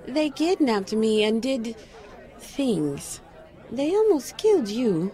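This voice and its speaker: A woman's voice: on the high side, big pitch swings, slow pace, mellow, a faint crowd. An actress playing a skeptical, guarded psychic woman, dry and teasing, in an audio drama. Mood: reluctant. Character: skeptical, psychic enchanted woman, the detective's old flame.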